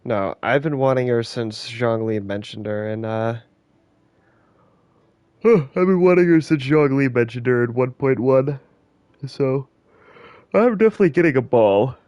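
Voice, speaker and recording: A game streamer talking casually over play. The sound is close and very dry. Comments come as muttered, sweary reactions in a medium-pitched voice. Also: animated, a little slow, long pauses, mellow, no room sound.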